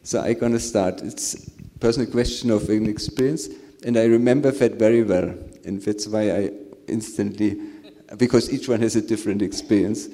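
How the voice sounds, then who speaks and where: A man sharing a spiritual experience on a panel, speaking slowly and searching for words with soft laughs. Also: on the low side, fairly even intonation. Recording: reverberant hall.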